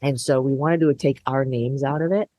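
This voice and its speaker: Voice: medium pitch, steady tone, normal speed, dark tone, no room sound. Reflective guest thinking aloud. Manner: reflective, thinks aloud, acts out past thoughts. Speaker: guest, business co-founder. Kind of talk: conversational interview.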